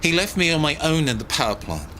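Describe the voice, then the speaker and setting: Lively, hesitant tech guy. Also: on the high side, quick pace, slight background noise. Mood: suspicious, aggrieved. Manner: hesitant, chatty, slightly awkward. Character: hesitant, suspicious, mild-mannered male tech guy. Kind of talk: science fiction audio drama acting.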